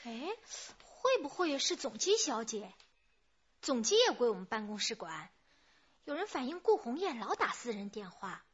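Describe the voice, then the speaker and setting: Female clerk: high, husky, gossipy, forthright, radio drama. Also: very animated, moderate pace. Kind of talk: Chinese radio drama acting. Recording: muffled band-limited radio drama audio.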